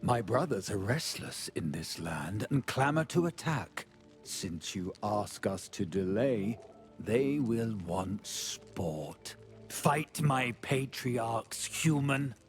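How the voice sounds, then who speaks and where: An actor playing a proud, wary gorilla lord in a fantasy game, with slow, weighty and imperious delivery. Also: male voice, fairly low pitch, sing-song, faint music behind, heavily acted. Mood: grim, challenging. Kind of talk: dramatic fantasy game acting.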